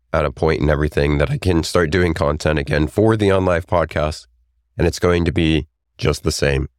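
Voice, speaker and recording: A man with a very deep, raspy voice, hoarse from a recent illness, talking through his own essay as a podcast host. He speaks earnestly and reflectively, at a measured pace. The sound is dry and close, with no room around it. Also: no room sound. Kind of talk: solo podcast monologue and essay reading.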